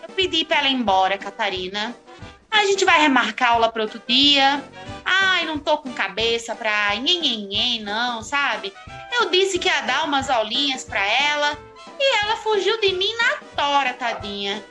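A feisty, fretful sister with a Northeastern Brazilian accent, played by a woman in a comic radio soap. Her voice is high and sharp, swinging widely as she scolds and whines melodramatically. Light music sits behind her. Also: big pitch swings, moderate pace, heavily acted. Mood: weary, fretful. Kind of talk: comedic radio soap opera acting.

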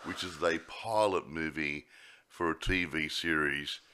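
A man co-hosting a casual film review chat, jokey and full of fillers, his voice a little croaky. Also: a bass voice, a little slow, sharp tone. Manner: chatty, jokey, lots of yeah fillers. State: slightly croaky voice. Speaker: podcast co-host.

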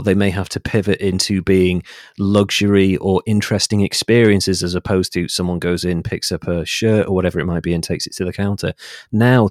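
Low-voiced man explaining at length. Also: darkish tone, no echo, studio quality. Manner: articulate, discursive, explanatory. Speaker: interview guest. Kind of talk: conversational interview talk.

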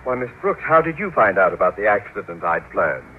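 An actor playing a pompous, scheming middle-aged school principal in an old-time radio sitcom. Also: male voice, on the low side, big pitch swings, fairly quick. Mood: conspiratorial. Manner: pompous, self-important. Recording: noisy tinny old radio recording.

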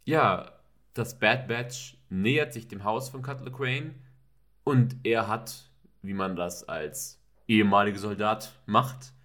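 Male co-host, slightly low voice with a bright, tense edge, casual detailed recaps. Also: slow. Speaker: podcast co-host. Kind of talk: casual episode discussion.

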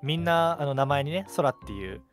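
Easygoing young male co-host over soft music. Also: on the high side, lively intonation, a little fast. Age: young adult. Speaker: radio show co-host. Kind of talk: casual chat on a live web radio show. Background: background music.

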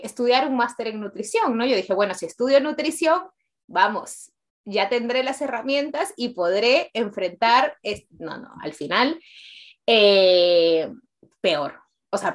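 A woman's voice: mid-range voice, fairly bright tone. A woman in her late twenties with a Peruvian accent, telling her personal story candidly and conversationally as an interview guest, often repeating words for emphasis. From Peru.

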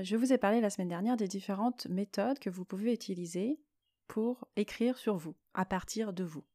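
A woman hosting a solo podcast, explaining her personal experience in a reflective monologue with frequent pauses mid-phrase. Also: medium pitch, mellow, no echo, clean studio sound. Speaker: podcast host.

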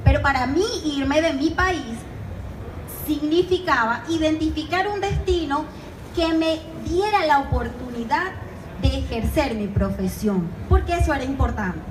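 A woman with a Venezuelan accent giving a talk to students, measured and checking in with the audience. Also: high-pitched, lively intonation. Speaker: guest speaker at a university. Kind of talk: presentation to students. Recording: echoey room with noise.

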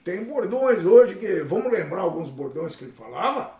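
A Brazilian man with a notably high voice chats casually as a panelist. His intonation leaps around, and he sounds a little away from the microphone in an echoing room. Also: very animated, normal speed, mellow. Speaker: panelist on a talk show. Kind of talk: casual chat with acted catchphrase quotes. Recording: roomy echo, distant from mic.

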